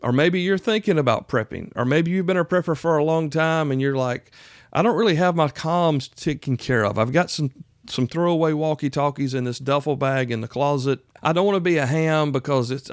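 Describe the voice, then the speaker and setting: A man's voice: a little high, fairly quick, clear, resonant tone, no room sound. Casual American man, dry close sound. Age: 40s. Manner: conversational, folksy, addresses the listener directly. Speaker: host and instructor of a prepper radio show. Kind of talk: casual instructional talk.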